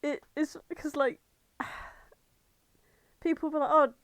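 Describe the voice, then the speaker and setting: A young British woman co-hosting a podcast, chatting casually and comically, telling stories in an animated way and acting out bits of dialogue. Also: sits high, slow, no room sound, studio quality. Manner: animated storyteller, acts out imagined dialogue. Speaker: podcast co-host. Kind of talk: casual comedic chat.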